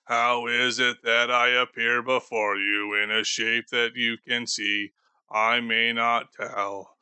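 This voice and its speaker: A man voicing a doomed, grieving male ghost bound in chains, speaking slowly and gravely for an audiobook. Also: mid-range voice, level tone, bright, forceful tone, no room sound. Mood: mournful. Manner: slow, solemn and grave. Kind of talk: audiobook character voice.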